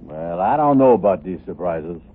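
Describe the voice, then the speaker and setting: An actor playing a gullible, grumbling sidekick with broad dialect delivery in a 1950s radio comedy. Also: male voice, low-pitched, expressive, normal speed, slightly rough. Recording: tinny, faintly noisy old radio recording.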